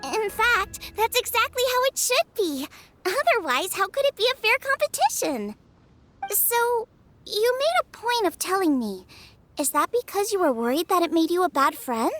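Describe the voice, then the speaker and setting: A woman's voice: very high-pitched, big pitch swings, normal speed, soft background music, heavily acted. A woman voicing a cheerful, kind young girl chef in a video game, bubbly and earnestly encouraging. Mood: earnest, reassuring. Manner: bubbly, warm, earnest reassurance. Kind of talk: animated video game voice acting.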